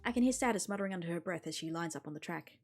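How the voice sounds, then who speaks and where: Woman streamer reading a visual novel out loud, storytelling style, bit of music behind her. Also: fairly low pitch, very fast. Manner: expressive storytelling with character voices.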